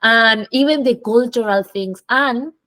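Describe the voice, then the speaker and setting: A woman's voice: on the high side, a little slow, bright, forceful tone, dry recording. A woman with an Argentine Spanish accent hosting a podcast, chatty and encouraging in casual interview chat. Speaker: podcast host.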